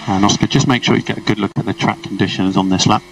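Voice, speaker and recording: A man's voice: lowish voice, fairly even intonation, fast, creaky. A man working as a race engineer, giving clipped, procedural instructions over a motorsport team radio. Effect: team radio transmission. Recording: noisy distant radio, car and engine noise.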